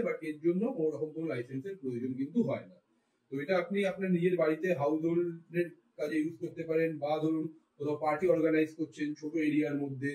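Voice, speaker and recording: A man with an Indian Bengali accent talks quickly through a casual product review. His voice is high for a man and he slips English phrases into his explanations. The recording is echoey, picked up from some distance. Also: darkish tone. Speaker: tech reviewer, vlogger. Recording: roomy echo, distant mic.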